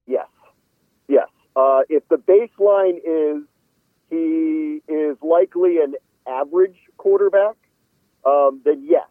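A man's voice: fairly high pitch, slow pace, dry recording. A middle-aged man, a sports reporter, giving casual conversational analysis as a guest on a show. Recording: tinny narrow phone-like line.

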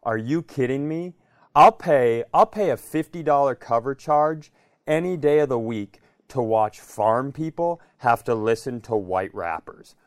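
A man's voice: medium pitch, expressive, unhurried, no room sound, clean studio sound. A middle-aged American man co-hosting a podcast, telling comic stories casually and emphatically, repeating phrases for effect. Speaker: podcast co-host. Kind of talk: animated comedic storytelling in casual chat.

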